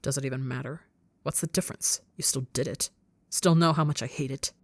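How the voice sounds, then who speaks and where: A woman's voice: very deep voice, fairly even intonation, brisk, dry recording. Woman playing a panicky, wounded, stuttering young man. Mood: sullen, hurt. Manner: stuttering, repeating words. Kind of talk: dramatic audiobook-style character dialogue.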